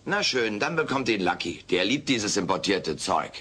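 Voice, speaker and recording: A man's voice: on the low side, big pitch swings, normal speed, sharp tone, slight background noise. A man playing a wisecracking, meddling furry alien houseguest in a theatrical, mock-grand manner, in a dubbed sitcom audio play. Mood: jovial, showy.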